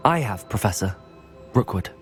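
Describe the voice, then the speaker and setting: Respectful young wizard student acted by a man, lowish. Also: animated, moderate pace, faint music behind, close to an everyday voice. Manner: respectful, earnest. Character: polite, capable young male wizard student. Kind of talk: video game dramatic acting.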